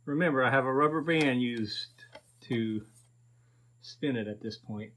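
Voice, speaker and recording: A man's voice: medium pitch, measured pace, fairly bright tone. A man in his fifties casually narrating a repair to viewers, thinking aloud in a folksy way. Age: 50s. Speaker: host of a repair video channel.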